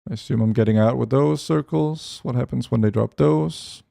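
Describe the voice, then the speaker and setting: Gravelly, mellow-voiced male game streamer. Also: mid-range voice. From Europe. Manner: reads chat aloud while playing, quick asides. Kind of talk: casual gaming stream chat.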